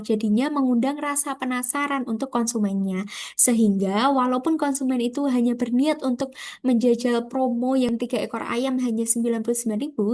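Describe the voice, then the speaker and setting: A woman with an Indonesian accent speaks in a fairly high voice. She presents like a student walking classmates through slides. Her delivery is conversational and informal, addressing listeners directly with rhetorical questions. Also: darkish tone. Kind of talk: informal slide presentation explaining a business case.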